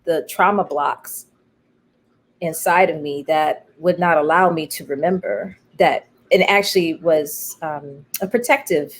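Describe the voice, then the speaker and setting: A woman playwright speaking as an interview guest, telling her story in a candid, thoughtful, halting way. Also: deep voice. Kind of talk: reflective conversational storytelling.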